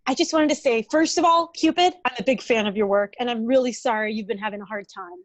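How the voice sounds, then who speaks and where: A woman plays an eager psychologist of thirty in a comedy script read. Her voice is high, and she speaks in an earnest, polite way. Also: quick pace, close to an everyday voice. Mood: earnest, sympathetic.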